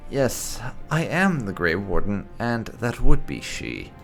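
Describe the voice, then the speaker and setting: A man's voice: fairly low pitch, wildly swooping pitch, takes it slowly, gravelly, a bit bright. A man hosting a gaming video, wryly speaking his character's replies in a light roleplay commentary. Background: faint game music.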